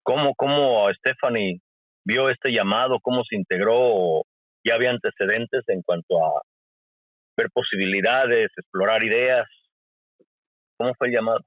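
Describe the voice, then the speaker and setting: A man hosting an interview, asking questions in a halting way with many restarts. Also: medium pitch, no echo. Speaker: interviewer, host. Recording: dull lo-fi call audio.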